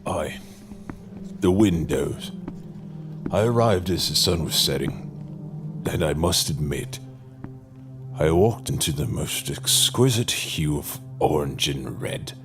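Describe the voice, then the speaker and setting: A man's voice: very deep voice, animated, slow pace, long pauses, faint music behind, heavily acted. A man acting in an audio drama as an effusive, theatrical long-lost brother, with flamboyant, wordy, old-fashioned phrasing. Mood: grand, wistful.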